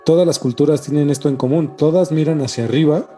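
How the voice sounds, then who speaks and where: A man's voice: a little high, steady tone, fast, dark tone. A man with a Mexican accent in casual podcast chat, slangy and emphatic, often repeating himself. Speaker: podcast co-host. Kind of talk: casual podcast chat about ancient mysteries. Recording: music behind the voice.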